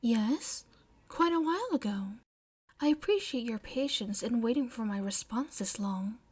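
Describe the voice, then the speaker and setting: A woman acts out a courteous, shy teenage girl in love for a story reading. The voice is raised and lilting. She speaks softly, with hesitant, gentle politeness. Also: on the high side, lively intonation, a little slow, husky. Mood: bashful. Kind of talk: audiobook-style fan fiction character reading.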